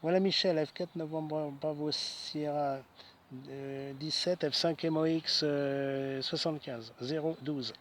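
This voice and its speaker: Slightly high-voiced man operating radio outdoors. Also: fairly even intonation, takes it slowly. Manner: choppy phrases with frequent tag words. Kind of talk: ham radio contact, casual chat. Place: outdoors in a wood. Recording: faint outdoor background noise.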